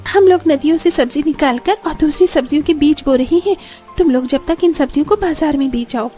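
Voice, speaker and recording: A woman acts out a hardworking young village daughter-in-law from a cartoon. The voice is strikingly high and earnest, with music playing underneath. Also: a little fast, warm tone, lightly acted. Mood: excited. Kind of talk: cartoon story dialogue.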